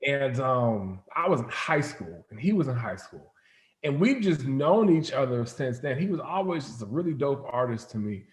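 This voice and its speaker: Man, mid-pitched voice, roomy echo, casual interview guest, informal rambling storytelling. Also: expressive, moderate pace. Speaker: interview guest, comics company founder.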